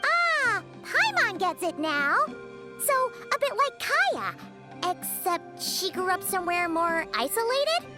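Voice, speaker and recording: Tiny excitable game fairy: extremely high, childlike, squeaky, very animated, over background music. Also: female voice, slow, heavily acted. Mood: thoughtful, friendly. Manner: chirpy, expressive, refers to self in third person. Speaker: video game companion character. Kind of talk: animated game dialogue acting.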